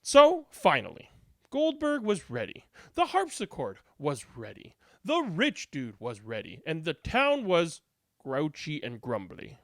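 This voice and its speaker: Very animated woman reading a storybook. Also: deep voice, unhurried, no room sound, studio quality. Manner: dramatic, comic, emphatic delivery. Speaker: read-aloud narrator.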